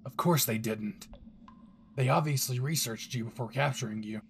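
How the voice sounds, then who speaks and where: A man's voice: medium pitch, normal speed, creaky, a little hiss or hum, lightly acted. A man playing a brash, possessive young hero, cocky, swearing and intense, in a dramatic audio roleplay spoken to the listener. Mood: cocky, protective. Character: brash, possessive young male hero, gruff and foul-mouthed.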